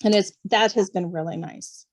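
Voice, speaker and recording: Woman author guest, medium pitch, expressive and chatty, casual conversational delivery. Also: normal speed. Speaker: podcast guest, novelist and kindergarten teacher. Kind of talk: casual chat.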